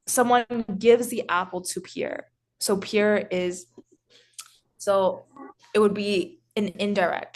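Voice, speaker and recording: A woman teaching French grammar in English, explaining slowly with many pauses, restarts and filler words. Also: mid-range voice. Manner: hesitant, frequent restarts and "so". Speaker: French tutor.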